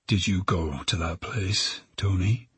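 Audiobook police inspector read by a male narrator: calm, gentle, soft-spoken questioner with a very breathy, dry-recorded voice. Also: low voice, normal speed, dry recording. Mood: soft, probing. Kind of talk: audiobook character voice.

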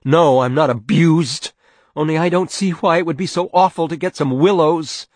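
A man's voice: medium pitch, expressive, normal speed, no room sound, pitched above the performer's own voice, natural voice. A man voicing a long-suffering, persistent farm wife and mother in a storybook reading, pleading and aggrieved. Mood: bitter, angry.

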